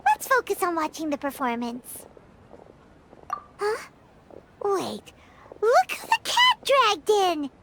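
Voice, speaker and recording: A woman's voice: extremely high pitch, takes it slowly, long pauses, very noisy, exaggerated voice. A woman voicing a small, chirpy, nosy fairy companion in a video game, very expressively. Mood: musing, surprised. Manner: refers to self in third person, expressive.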